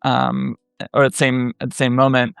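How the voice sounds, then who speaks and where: A man in his thirties speaking as a scholar guest in a podcast interview, measured and thoughtful, pausing often with fillers. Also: medium pitch, completely flat delivery, creaky, a bit bright, clean tone, no echo, faint music behind. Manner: thoughtful, many "um" pauses, measured. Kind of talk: academic interview conversation.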